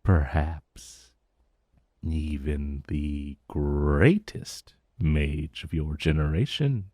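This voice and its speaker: A man's voice: rumbling low, very slow, dark tone, no echo, studio quality, exaggerated voice. A man playing an ancient dragon in an intimate ASMR roleplay, possessive and condescending, with a smug, purring, teasing menace. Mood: musing, intrigued.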